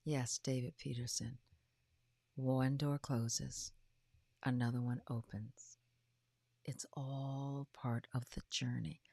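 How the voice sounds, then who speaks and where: A woman in her fifties or older giving a motivational talk as a host, with emphatic, drawn-out delivery that often drops into breathy, hushed phrases. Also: very deep voice, fairly even intonation, dry recording. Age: 50s or older. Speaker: podcast host and coach. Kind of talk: motivational livestream talk to followers.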